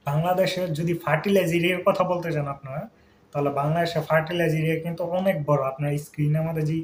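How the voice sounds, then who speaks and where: A man hosting an informal explainer, talking conversationally and mixing in English words and check-in tags. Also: fairly high pitch. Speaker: YouTube host. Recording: echoey room, faint background noise.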